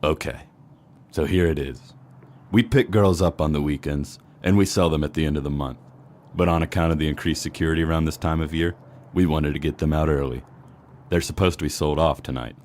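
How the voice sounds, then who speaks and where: A man's voice: extremely low pitch, fairly even intonation, a little fast, darkish tone. A man playing a menacing snowman mob hitman in a noir comedy audio drama, speaking in formal gangster style. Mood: grudging confession. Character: menacing snowman mob hitman, turning fearful when cornered.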